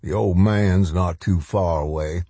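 Man playing a big blunt man, deep voice. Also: fairly even intonation, measured pace, dark tone, slightly rough, dry recording, full character voice. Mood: grim, calm. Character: gruff, practical giant of a man, blunt survivor.

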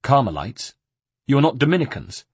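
A man voicing a calm, steady male assassin hero who speaks low and measured. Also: breathy edge, no echo, exaggerated voice. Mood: urgent.

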